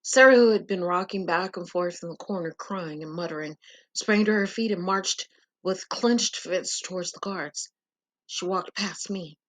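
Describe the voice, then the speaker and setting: A woman's voice: fairly low pitch, moderate pace. A woman giving an earnest, suspenseful first-person narration of a dramatic story.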